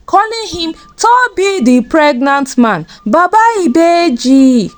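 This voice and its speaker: High-voiced, animated woman storyteller. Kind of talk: scripted folktale narration.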